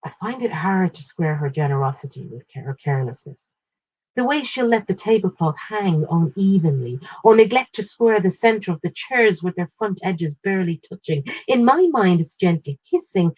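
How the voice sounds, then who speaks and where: A woman reading a literary first-person short story aloud in a measured, expressive way with pauses. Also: fairly low pitch, husky, dull audio.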